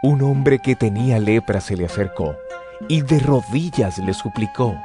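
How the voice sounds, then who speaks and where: A man's voice: mid-range voice, expressive, measured pace. A man narrating scripture as scripted audio drama in a solemn storytelling manner. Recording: music bed behind the voice.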